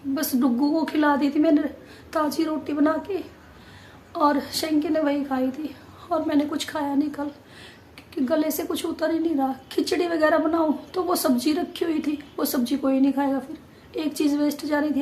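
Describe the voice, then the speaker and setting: A woman vlogger chatting casually to viewers, her voice hoarse and nearly gone, pushing hard to get the words out. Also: sits high, fairly even intonation, normal speed, darkish tone, slight background noise. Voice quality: strained, forced voice.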